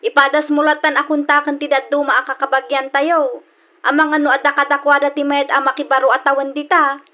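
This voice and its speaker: A woman's voice: high-pitched, little pitch movement, brisk, pushed and bright, lo-fi sound. Female cousin played by a woman for radio drama: Ilocano accent, frank, matter-of-fact, conversational. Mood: apologetic.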